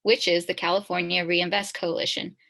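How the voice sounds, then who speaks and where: A woman's voice: on the low side, flat delivery, fairly quick, creaky, fairly bright tone. A woman in her twenties from Los Angeles with an American accent, giving a scripted student presentation. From East Los Angeles, United States. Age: 20s.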